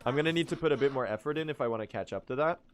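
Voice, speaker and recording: A young man chatting casually to his stream while speedrunning, self-mocking and quick to laugh, his voice still recovering from strain. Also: medium pitch, crowd noise.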